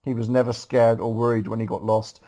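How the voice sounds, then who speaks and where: A man's voice: mid-range voice, flat delivery, fairly quick, warm tone. A man reading a story aloud casually as host, breaking off to make joking, mocking comments. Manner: breaks off to mock the writing.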